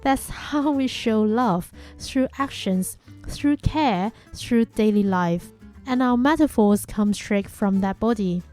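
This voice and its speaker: Mellow-voiced woman with a Chinese first language. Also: medium pitch, slow, faint music behind. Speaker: podcast host. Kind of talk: reflective scripted monologue.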